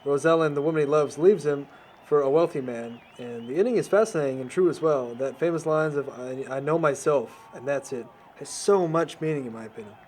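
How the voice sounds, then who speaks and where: Man as humble earnest young newcomer, hesitant, apologetic, slightly high voice, faint noisy ambience, amateur audio drama. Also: fairly quick, darkish tone, lightly acted. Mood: eager. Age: young adult.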